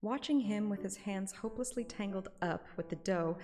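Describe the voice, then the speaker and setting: A woman's voice: mid-range voice, moderate pace, dark tone, close to an everyday voice. A woman voicing a curious, playful young heroine who narrates a romance game story. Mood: amused, curious. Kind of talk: reading a game script aloud with dialogue. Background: light game music.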